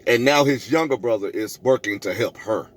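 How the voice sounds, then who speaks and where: A man's voice: medium pitch, moderate pace. A middle-aged man vlogging a personal story in an emphatic, preachy way, repeating phrases for effect and acting out both sides of conversations. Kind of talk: animated storytelling to camera, re-enacting arguments.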